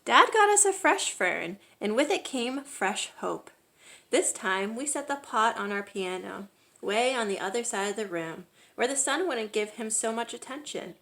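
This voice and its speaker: A woman reading a children's picture book aloud as a storyteller, with expressive, emphatic delivery. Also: fairly high pitch, normal speed.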